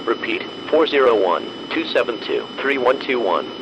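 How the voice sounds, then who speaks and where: A man playing a stern official announcer reading a formal, deliberate, authoritative emergency bulletin. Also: mid-range voice, expressive, lightly acted. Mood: stern, urgent. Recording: noisy, muffled radio-like alert recording.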